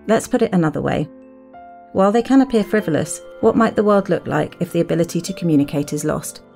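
A woman hosting a podcast, reading scripted narration and putting questions in a measured, clearly phrased way. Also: fairly low pitch, lively intonation, warm tone, soft background music. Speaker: podcast host, interviewer.

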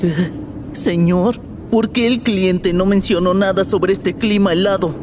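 A man's voice: sits high, fairly even intonation, measured pace, noisy background. A man playing a cautious, complaining demon underling in a cartoon fan dub, with a Latin American Spanish accent. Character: fussy, worried male demon underling. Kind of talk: animated fan dub acting.

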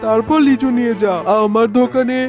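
A woman's voice: on the high side, fairly even intonation, brisk, darkish tone, faint music behind, natural voice. A woman voicing a stingy male tiger shopkeeper in a children's cartoon, brusque, bossy and scolding. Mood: scornful, stern. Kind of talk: Bengali children's cartoon dubbing.

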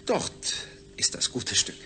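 Ein Schauspieler spielt in einem deutschen Hörspiel einen jovialen Mediziner, der sachlich doziert. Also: male voice, slow, crowd noise, close to an everyday voice. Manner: didactic, technical. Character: jovial, lecturing male medical scientist. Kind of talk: German radio play acting.

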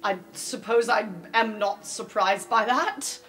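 A woman acts out an awkward young male student in a roleplay game, with a high voice and slow, hesitant delivery. He is earnest and apologetic. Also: steady tone, pushed and bright, soft background music, rough recording. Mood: glum, hesitant. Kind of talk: improvised tabletop roleplay.

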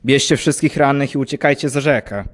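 A man's voice: medium pitch, a little fast, close to an everyday voice. A young man playing a brave, dutiful army lieutenant in an amateur war audio drama, with a clipped military delivery. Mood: urgent, resolute. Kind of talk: scripted war radio drama dialogue.

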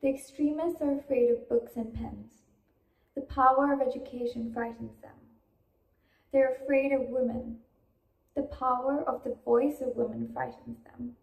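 A young woman with a fairly high voice and a creaky edge recites a speech. Her delivery is deliberate and declamatory, and the room adds clear echo. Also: a little mellow. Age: teenage to young adult. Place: indoor room. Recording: roomy echo.

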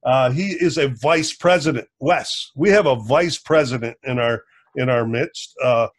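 A man in his sixties hosting a podcast, introducing a guest over a video call from a home room, halting with many fillers. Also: mid-range voice. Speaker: podcast host. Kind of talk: casual interview introduction.

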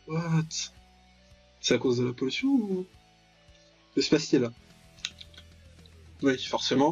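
A man's voice: on the high side, slightly rough, muffled recording. A man giving casual, joking commentary on a visual novel, ironically paraphrasing and reacting aloud as he plays. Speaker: let's player, channel host.

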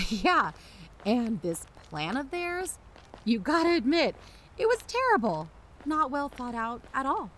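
A woman playing an excitable amateur investigator who hosts a podcast, in a scripted audio drama. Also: high voice, measured pace, slight background noise, full character voice, poor audio. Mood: animated, scornful. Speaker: podcast host.

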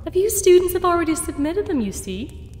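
A woman voicing a sinister shapeshifting creature posing as a sweet schoolteacher mare, measured and sweetly menacing, in an audio fan-fiction drama. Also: very high-pitched, big pitch swings, a little mellow. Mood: saccharine. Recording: echoey hall reverb, music behind. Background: background music.

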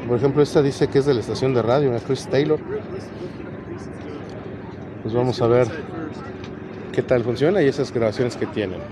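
A man's voice: medium pitch, moderate pace, pauses often, background noise. A man in his thirties hosting a casual walk-and-talk vlog at an outdoor swap meet, chatting and rambling as he lists the items he sees, recorded on an action camera. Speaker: vlogger. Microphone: action camera on body or handheld.

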